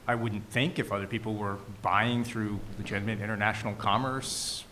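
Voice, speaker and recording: A man's voice: fairly low pitch, fairly even intonation, normal speed, pushed and bright, a little hiss or hum. A man on a discussion panel, answering questions hesitantly as he thinks aloud.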